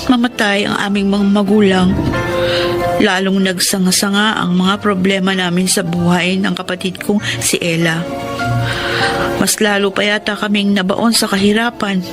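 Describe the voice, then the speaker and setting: A woman's voice: mid-range voice, fairly even intonation, normal speed, loud music behind the voice, close to an everyday voice. A woman playing a weary, conscientious orphaned older sister in a Filipino radio drama, speaking earnestly. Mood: sorrowful.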